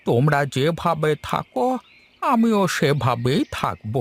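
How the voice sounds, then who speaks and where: A man voicing a gentle, homeless old village man in a cartoon, plaintive and affectionate like an elder. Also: a little high, very animated, measured pace, breathy edge, exaggerated voice. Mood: wistful, resolute. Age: older. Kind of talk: Bengali moral-story cartoon dubbing.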